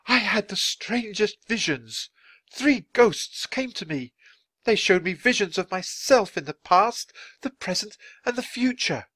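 A man voicing an excitable, fervent male writer in a storybook, exclaiming and stammering when overwhelmed. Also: sits high, normal speed, no echo, pitched above the performer's own voice. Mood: excited, fervent.

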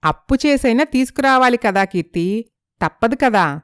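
A woman playing a sympathetic, gently persuasive young female friend in an animated moral story. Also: a little high, moderate pace, smooth, dry recording, studio quality, natural voice. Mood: persuading.

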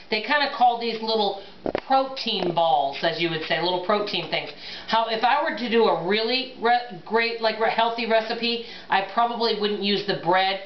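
A middle-aged woman talks her viewers through a recipe in a relaxed, chatty way, thinking aloud as she works. Her voice bounces around a hard-surfaced home kitchen, picked up by a camera mic a few feet away, with slightly muffled, dull sound. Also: mid-range voice, reverberant, a little hiss or hum. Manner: chatty, addresses viewers as "guys" and "y'all", thinks aloud while working. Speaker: cooking vlogger. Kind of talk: casual cook-along chat to camera. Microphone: camera mic from across the counter.

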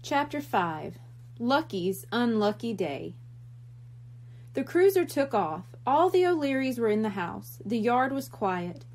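A woman reading a children's book aloud in an expressive storytelling style. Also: on the high side, slow pace.

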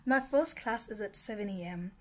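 A young woman from Botswana with a Botswana English accent, chatting excitedly to her camera in a casual vlog, often dropping to a half-whisper. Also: mid-range voice, moderate pace. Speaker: vlogger. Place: small room indoors, later a parked car. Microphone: handheld camera at arm's length.

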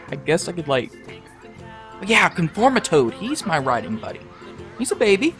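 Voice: mid-range voice, sing-song, moderate pace, fairly bright tone, faint music behind. An actor playing a nervous yet cocky, foul-mouthed oddball in a comedic audio drama, stammering and swinging into outbursts. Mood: awkward, insistent.

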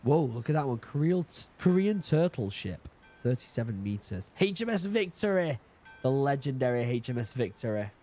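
A man in his thirties from the UK, a bit hoarse from being sick, chatting casually as he reacts to a video and reads labels aloud, excited now and then. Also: mid-range voice, moderate pace, faint music behind. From United Kingdom. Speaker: YouTube reaction host. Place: home study with bookshelves. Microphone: studio mic on boom arm, headphones.

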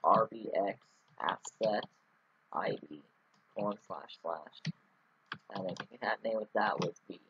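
A man's voice: on the low side, unhurried, long pauses, fairly bright tone, dull audio, slight background noise. A man talking through a casual screen-recorded coding tutorial, rambling with many ums and restarts. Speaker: scripting tutorial host.

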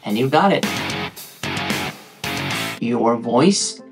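A man's voice: mid-range voice, animated, drawn-out words. A man in his thirties with a Norwegian accent, giving a playful, chatty talking-head tutorial with guitar music underneath. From Norway. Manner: playful, chatty, with asides to viewers. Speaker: tutorial creator. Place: indoor room with frosted glass behind.

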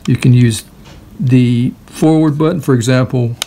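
A man giving a casual, hands-on product walkthrough in a halting, hesitant way. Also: medium pitch, fairly even intonation, dark tone, slight background noise. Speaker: product demonstrator.

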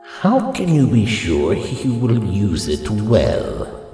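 A man playing an ancient, solemn guardian spirit who speaks gravely and portentously in a superhero audio drama. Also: lowish voice, wildly swooping pitch, slow pace, mellow, soft background music, exaggerated voice. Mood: grave, testing. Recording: long cavernous reverb.

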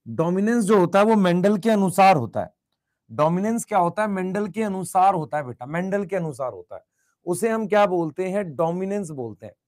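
A male teacher with a high voice, a bit hoarse today. He keeps asking the class to answer fast in the chat and repeats each point. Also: expressive, a little mellow. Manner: calls students "beta", repeats questions and urges quick chat answers. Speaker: biology teacher. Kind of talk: online class lecture.

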